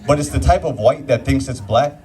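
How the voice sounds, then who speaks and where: A man's voice: mid-range voice, a little fast, distant mic, background noise. A young man from Orange County doing a rambling, self-mocking stand-up set in a brew pub comedy club. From Orange County, California. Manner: self-deprecating, rambling with filler words.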